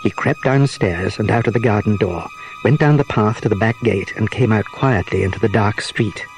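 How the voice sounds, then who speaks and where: A man's voice: lowish voice, moderate pace, soft background music, poor audio. A man narrating a children's story as a scripted, dramatised audiobook.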